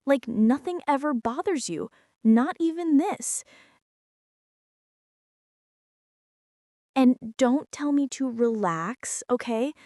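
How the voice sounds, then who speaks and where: A woman's voice: sits high, expressive, measured pace, mellow, dry recording, clean studio sound. A woman playing a bratty teenage girl bully in an ASMR roleplay, whining and sarcastic as she complains straight to the listener. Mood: exasperated. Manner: whiny, sarcastic, exasperated complaining with sighs. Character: bratty teenage girl bully, grumbling, secretly softening toward tentmate.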